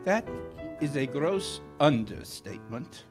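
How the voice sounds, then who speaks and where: A suave, corrupt police captain of middle age acted by a man in a 1940s radio play. His highish voice swoops with wry, oily courtesy, over music in a live stage show. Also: big pitch swings, slow pace. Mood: charming. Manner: unctuous, wry, theatrically courteous. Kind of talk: 1940s radio theater acting.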